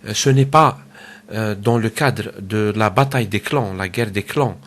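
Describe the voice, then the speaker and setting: A man's voice: on the low side, measured pace. A man with a cold giving a casual solo political commentary, hesitant, with many fillers and repeated words. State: has a cold. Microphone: home microphone.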